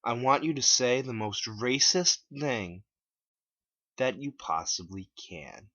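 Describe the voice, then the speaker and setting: A man's voice: mid-range voice, animated, slow, pushed and bright, no room sound, muffled recording, pitched above the performer's own voice, heavily acted. A man voicing a cocky, blunt internet troll who talks in a casual, challenging way in a dramatic story reading. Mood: goading.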